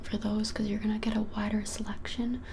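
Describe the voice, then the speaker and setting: A woman in her twenties whispering softly and without hurry in an ASMR roleplay as a shop keeper, often hesitating. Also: mid-range voice, little pitch movement, noisy background. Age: 20s. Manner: soft whispering, hesitant and unhurried. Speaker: ASMR creator playing a witch shop keeper. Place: small plain-walled room, indoors.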